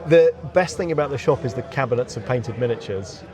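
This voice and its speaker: A man's voice: mid-range voice, lively intonation, fairly quick. Chatty man outdoors amid crowd chatter. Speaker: interview guest, game designer. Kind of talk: casual chat. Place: outdoors in a courtyard at a gaming event. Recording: outdoor event courtyard with background chatter.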